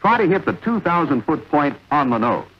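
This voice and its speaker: A man narrating a vintage military training film in a measured, authoritative, instructional way. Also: on the high side, sing-song. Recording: dull, lo-fi vintage film soundtrack.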